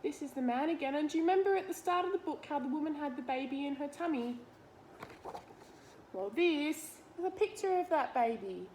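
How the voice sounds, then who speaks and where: A woman's voice: high-pitched, lively intonation, fairly quick, darkish tone, slight background noise, rough recording. An Australian woman reading a picture book aloud to young children, expressively, talking to them directly with simple explanations and questions.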